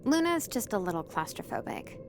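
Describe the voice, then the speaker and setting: A woman's voice: mid-range voice, big pitch swings, a little fast, music in the background. A woman voicing a bubbly, teasing young woman in a visual novel game, chirpy and playful.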